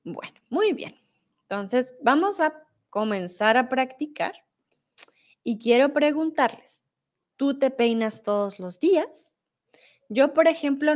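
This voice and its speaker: A young woman with a Bogotá accent teaches Spanish on a live stream. Her voice sits a little high and she speaks slowly, explaining each point with patience. Now and then she stops to read viewer comments aloud. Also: lo-fi sound. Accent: Colombian, Bogotá. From Colombia. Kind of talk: live-stream language lesson reading chat.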